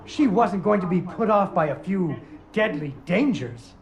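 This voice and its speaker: An actress voicing a gentle woman narrating a bedtime puppet story to a child, in a soft, sing-song, fond manner. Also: on the low side, lively intonation, measured pace, a little hiss or hum. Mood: storytelling. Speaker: storyteller in a video game. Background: game music.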